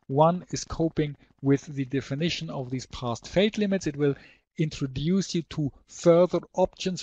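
Higher-voiced man presenting a webinar. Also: a little mellow, no echo. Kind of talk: product demonstration and slide presentation.

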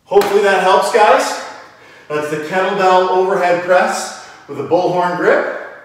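A man's voice: high voice, unhurried. A man in his thirties giving informal, step-by-step exercise instruction as a fitness coach, demonstrating as he talks. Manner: informal, step-by-step explaining while lifting a kettlebell. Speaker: fitness coach and video host. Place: indoor home gym with hard walls. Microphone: camera several meters away. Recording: very echoey room, distant mic.

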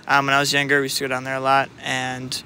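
Young Midwestern guy, casual, bright sharp voice. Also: medium pitch, completely flat delivery, quick pace, slight background noise, low-quality recording. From Wisconsin. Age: young adult. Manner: casual, filler-heavy answers. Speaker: interviewee. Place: outdoors by government building.

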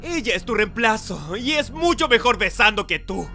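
A man's voice: sits high, very animated, normal speed, music in the background. A man voicing an exasperated, foul-mouthed young guy in an exaggerated, indignant comedy fan dub. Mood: bickering.

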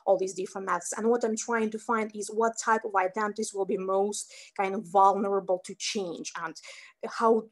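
A woman's voice: mid-range voice, fairly even intonation, moderate pace. A middle-aged woman whose first language is Russian, giving a thoughtful slide presentation over a webcam from home, with fillers and restarts. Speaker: researcher presenting a poster talk. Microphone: webcam on a video call.

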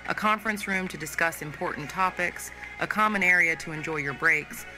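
A man playing a polite, impersonal corporate orientation narrator, reading a training script in measured, formal tones. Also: a little high, lightly acted, rough recording. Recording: clear music bed behind.

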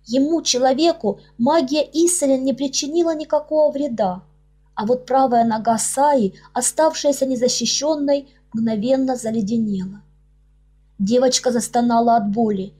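High-voiced woman narrating a fairy tale. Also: moderate pace, warm tone, slightly rough. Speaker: author reading her own audiobook. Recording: music under the voice. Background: background music.

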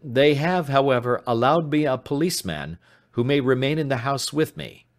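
A man voicing an anxious, despairing young gentleman in an audiobook, formal and earnest in manner. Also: mid-range voice, lively intonation, moderate pace, pitched above the performer's own voice, close to an everyday voice. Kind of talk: audiobook character voice.

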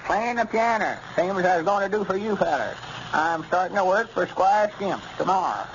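Stubborn old arkansas grandpa, pitched way up, proud n cranky, noisy old radio show. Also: male voice, expressive, moderate pace, muffled recording. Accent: rural Arkansas. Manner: stubborn, folksy. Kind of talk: 1930s-40s radio comedy acting.

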